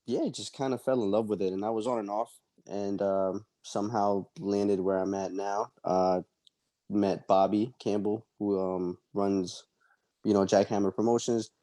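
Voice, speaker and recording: A young man from El Salvador, a fighter being interviewed, answering casually and haltingly with many ums and uhs. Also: fairly low pitch, steady tone, mellow, no echo. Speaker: MMA fighter guest.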